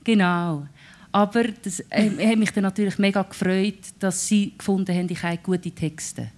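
A woman's voice: mid-range voice, fairly even intonation, normal speed, a little mellow. A singer-songwriter woman with a Swiss German accent, talking thoughtfully about her songwriting in an interview. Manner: thoughtful, searching for words. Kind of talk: casual radio interview talk.

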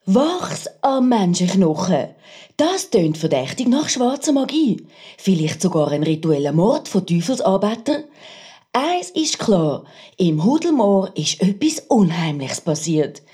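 A woman's voice: medium pitch, big pitch swings, unhurried, mellow, slightly rough. A woman playing a conceited, overeager crime reporter, delivering an ominous, sensational radio report with a Swiss German accent. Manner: melodramatic, ominous.

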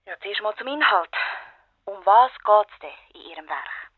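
Through a telephone filter, an actress playing a polite, patronising publishing clerk in a radio play. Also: female voice, medium pitch, lively intonation, moderate pace, husky, no room sound. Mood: polite, guarded. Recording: thin, dry telephone line.

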